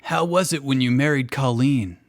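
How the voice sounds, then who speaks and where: A man's voice: mid-range voice, normal speed. A man making wisecracks and reading character lines in a group story reading. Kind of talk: joking riffs and acted dialogue.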